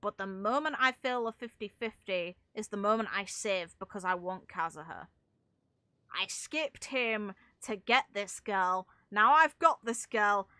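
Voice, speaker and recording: Bright-voiced young woman chatting on stream. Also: fairly high pitch, no echo. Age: young adult. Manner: informal, rambling, mild swearing. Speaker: game streamer. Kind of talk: casual livestream chat with viewers.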